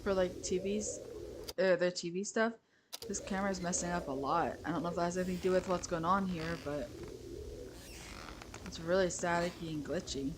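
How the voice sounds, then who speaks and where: A woman's voice: on the low side, normal speed, noisy background. A woman in her twenties giving chatty, offhand commentary as a reaction streamer.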